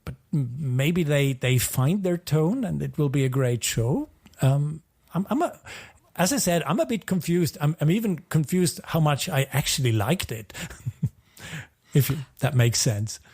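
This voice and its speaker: A man's voice: fairly high pitch, animated, a little slow, a little mellow, breathy edge. A man co-hosting a podcast in casual review chat, hesitantly qualifying his opinions and repeating words as he goes. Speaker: podcast co-host.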